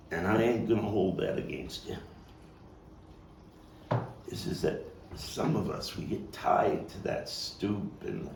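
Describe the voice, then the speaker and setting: Man playing a slow, gravelly, world-weary street philosopher. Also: fairly low pitch, darkish tone, full character voice. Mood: brooding. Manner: rambling, profane, halting, emphatic street talk. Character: weary, foul-mouthed, combative old-neighborhood street philosopher, man. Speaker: playwright and actor. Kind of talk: theatrical monologue at a table read. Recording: distant mic, roomy echo, faint noise.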